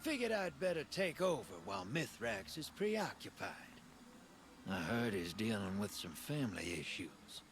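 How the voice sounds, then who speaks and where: A man's voice: fairly low pitch, big pitch swings, moderate pace, a bit bright, slight background noise. A man playing an easygoing, wry roguish drifter in a video game, talking in a casual, folksy, knowing way. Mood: chummy, gossiping. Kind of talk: scripted video game dialogue.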